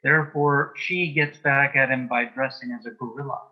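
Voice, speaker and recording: A man answering as an interview guest in a conversational way, with brief, deliberate replies. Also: mid-range voice, fairly even intonation. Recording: dull, lo-fi sound.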